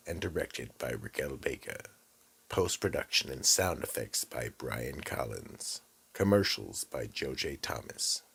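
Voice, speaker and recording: Man doing a gravelly, bottomless-deep horror storyteller. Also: a bit bright, heavily acted. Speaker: narrator and host. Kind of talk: scripted horror narration.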